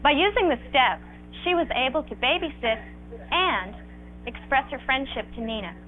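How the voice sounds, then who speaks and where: Female educational film narrator, highish voice, instructive read, faintly noisy vintage soundtrack. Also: expressive, normal speed. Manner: instructive, explanatory. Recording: tinny, noisy old 16mm film soundtrack.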